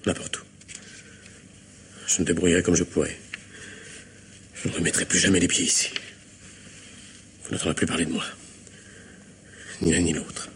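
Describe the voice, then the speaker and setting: An actor playing a guilty young son in a vintage French radio drama, cornered, halting and insistent as he pleads and defies. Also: deep voice, little pitch movement, a bit bright. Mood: subdued, desperate. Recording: faintly noisy old radio recording.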